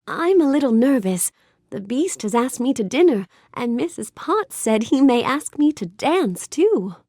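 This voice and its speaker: A woman playing a sweet, curious, bookish young fairy-tale heroine in a video game, speaking with gentle, courteous wonder. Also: high voice, measured pace, no room sound, clean studio sound, exaggerated voice. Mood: nervous, hopeful. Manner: gentle, wonder-filled, courteous, expressive delivery. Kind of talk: animated game character dialogue.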